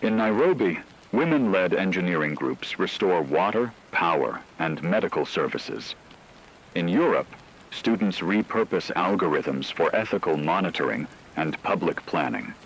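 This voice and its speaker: A man delivering scripted news narration as a radio co-anchor in a formal, measured way. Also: sits low, lively intonation, slight background noise, rough recording.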